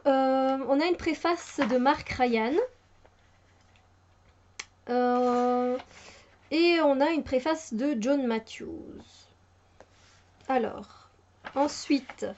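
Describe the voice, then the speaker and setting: A woman's voice: sits high, darkish tone. Chatty woman reviewing a tarot deck. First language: French. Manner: hesitant, many fillers and restarts, enthusiastic. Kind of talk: casual spoken product review. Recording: muffled lo-fi home recording.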